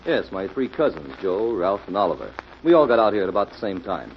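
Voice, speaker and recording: A subdued male doctor in an old radio mystery. His low voice swings up and down in pitch. The recording is dull and noisy. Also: big pitch swings, a little fast. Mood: subdued, explaining. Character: subdued male doctor, nephew of a rich captain. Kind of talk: 1940s radio mystery acting.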